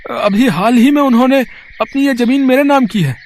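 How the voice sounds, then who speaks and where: A man's voice: very high-pitched, brisk, slight background noise, voice pushed up. A man voicing a humble, earnest poor food seller in a storybook tale, polite and hesitant. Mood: pleading. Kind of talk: acted storybook dialogue.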